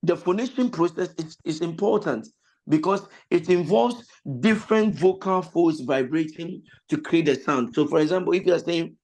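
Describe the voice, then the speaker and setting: A man, a pastor and vocal lecturer, teaching an online voice class over a video call. He has a cold that roughens his voice, explains slowly, repeats his points and breaks into sung examples. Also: on the high side, darkish tone, rough recording. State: has a cold, voice slightly lost.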